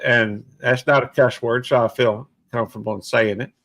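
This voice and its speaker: A man in his sixties chatting casually on a livestream, plainspoken and halting, with many fillers. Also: mid-range voice, steady tone, a bit bright, no echo. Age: 60s. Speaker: livestream host. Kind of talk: casual chat.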